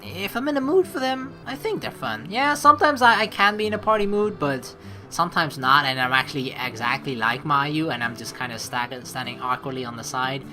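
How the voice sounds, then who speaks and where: A woman's voice: rumbling low, sing-song, fairly quick, music in the background. A young woman giving casual, slangy game commentary, reading aloud and reacting as she goes. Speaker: let's play host.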